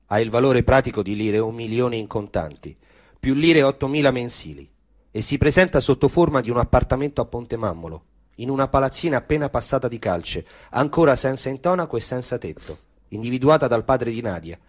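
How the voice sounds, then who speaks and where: A man narrating literary prose as a stage actor, with dramatic, deliberate phrasing. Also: medium pitch, lively intonation, no room sound.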